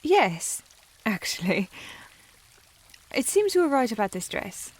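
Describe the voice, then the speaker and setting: Woman acting breathy, snooty young lady. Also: on the high side, big pitch swings, slow, heavily acted. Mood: haughty, composed. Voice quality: breathy, often dropping to a whisper. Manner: haughty, refined, hesitant when flustered. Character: snooty young aristocratic lady, proud, flustered, secretly fond. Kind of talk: historical romance audio roleplay, ASMR.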